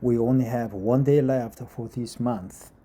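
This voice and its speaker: Man, Korean accent, English not his first language, slow careful reading, mellow low tone. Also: steady tone. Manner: hesitant, frequent uh fillers, careful deliberate reading. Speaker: vlogger. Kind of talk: casual talk and reading aloud. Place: outdoor courtyard bench in front of a church.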